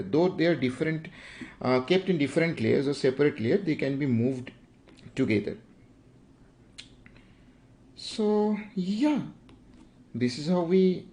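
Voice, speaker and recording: Halting Indian-accented young man teaching. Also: a little high, darkish tone. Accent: Indian English. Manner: unhurried, halting, with frequent fillers. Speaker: art tutor. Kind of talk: livestream drawing tutorial.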